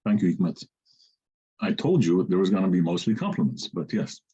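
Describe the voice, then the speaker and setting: A man moderating an online panel, brisk and lightly joking, on dull lo-fi call audio. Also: deep voice, very animated, dark tone, breathy edge.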